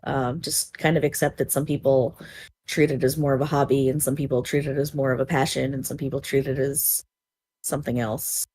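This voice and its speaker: A woman with a husky voice, talking casually as a podcast panelist and pausing to repeat words as she thinks. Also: a bass voice, level tone, a little mellow, no echo, studio quality. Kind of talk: casual discussion.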